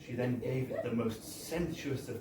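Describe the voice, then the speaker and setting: A man's voice: medium pitch, moderate pace. Wry man reading aloud, echoey live room. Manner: wry, mock-formal, theatrical delivery. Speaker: reader of a humorous book. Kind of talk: comic story reading to a live audience. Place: bar, indoors, at a live book launch. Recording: echoey live bar recording, distant, faint noise.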